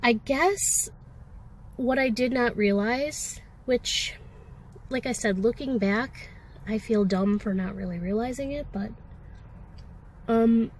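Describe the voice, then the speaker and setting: A woman's voice: on the high side, slow, pauses often, slight background noise, rough recording. A woman with a sore throat and a congested voice, talking candidly and ramblingly in a personal vlog, with many fillers. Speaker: vlogger. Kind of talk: casual personal vlog talk.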